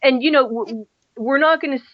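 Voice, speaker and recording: A woman's voice: high-pitched, fast, dry recording. Casting: young adult woman, rambling podcast co-host, dull lo-fi dry recording. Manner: rambling, self-deprecating, chatty with restarts. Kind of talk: casual chat.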